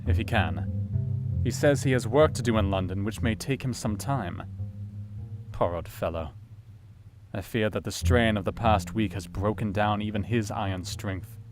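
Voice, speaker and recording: A man's voice: fairly low pitch, lightly acted. An actor playing a sober, compassionate Victorian doctor, narrating his diary reflectively in an audio drama. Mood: sombre, admiring.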